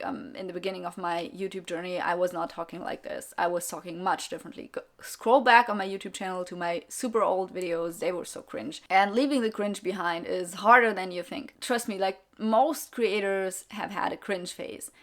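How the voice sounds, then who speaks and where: A woman's voice: mid-range voice, a bit bright. A young adult woman hosting her own podcast, English not her first language, talking bluntly and candidly in a solo monologue with sarcastic asides. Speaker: podcast host and YouTuber.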